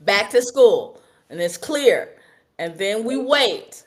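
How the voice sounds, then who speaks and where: A woman's voice: mid-range voice, big pitch swings, slow. A woman co-hosting a podcast, chatting casually and rambling with many restarts. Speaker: podcast co-host. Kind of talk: casual chat.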